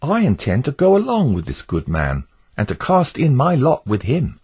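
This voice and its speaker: A man voicing a mild, easily persuaded male neighbour who speaks gently and eagerly, in a husky voice. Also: medium pitch, wildly swooping pitch, moderate pace, voice pushed up, full character voice.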